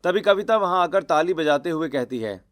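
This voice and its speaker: A man's voice: a little high, expressive, moderate pace, soft background music. A man narrating a scripted cartoon family story.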